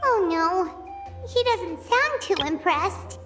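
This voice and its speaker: A woman voicing a tiny floating fairy companion, chirpy, excitable and blunt, in a video game cutscene. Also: extremely high pitch, full character voice. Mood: worried. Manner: excitable, quick to comment. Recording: game audio with loud music.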